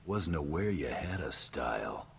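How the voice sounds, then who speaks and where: Very deep, hard-bitten tough leader. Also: male voice, very animated, a little slow, vocal fry, fairly bright tone, a little hiss or hum, heavily acted. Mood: sardonic. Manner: terse, dry, sardonic. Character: hard-bitten male team leader, dryly threatening tough guy. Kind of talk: video game character acting.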